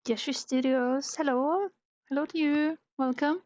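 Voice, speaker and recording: A woman's voice: sits high, a little slow, no echo, highs cut off. A woman from Norway, a native Norwegian speaker, chatting casually with viewers during a live drawing stream, trailing off with fillers as she works. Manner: relaxed, reads chat aloud, trails off with fillers while concentrating. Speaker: art streamer.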